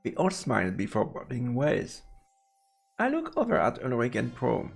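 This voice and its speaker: A man's voice: mid-range voice, huge pitch swings, normal speed, creaky, breathy edge, soft background music. A man doing let's play commentary on a visual novel, reading the narration aloud. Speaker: game streamer.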